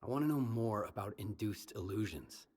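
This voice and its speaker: Low, husky, gruff game hero. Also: male voice, animated, moderate pace, a little mellow. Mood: serious, probing. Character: gruff, stoic male mercenary-like survivor hero. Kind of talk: video game dialogue.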